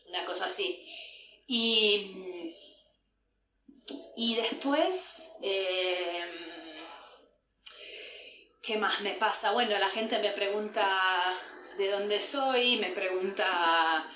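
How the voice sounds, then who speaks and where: Slow, laughing woman. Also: on the low side, bright, forceful tone. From Italy, Piedmont. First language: Italian. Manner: hesitant, laughing often, many fillers. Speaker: guest. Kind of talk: recorded testimony, casual chat. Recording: echoey room with noise.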